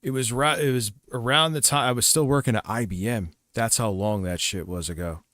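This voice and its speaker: A man's voice: medium pitch, a little fast, fairly bright tone, no room sound, studio quality. A middle-aged man streaming casual commentary, rambling and swearing as he reminisces. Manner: profane, meandering, reminiscing. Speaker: streamer reacting to another stream.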